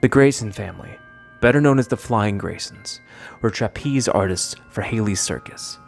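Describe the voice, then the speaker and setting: A man's voice: on the low side, normal speed, vocal fry, soft background music. A man delivering scripted narration as the presenter of a video essay.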